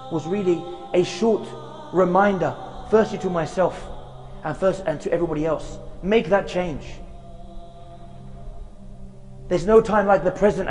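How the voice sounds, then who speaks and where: High-voiced man preaching earnestly. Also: darkish tone, big-room sound. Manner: earnest, slow, with Arabic recitation chanted. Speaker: preacher giving a short reminder. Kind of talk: earnest sermon to camera. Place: outdoors in a graveyard.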